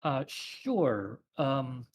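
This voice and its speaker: A man's voice: medium pitch, sing-song, very slow. A man talking casually as a guest webcomic author on a livestream, thinking aloud as he goes.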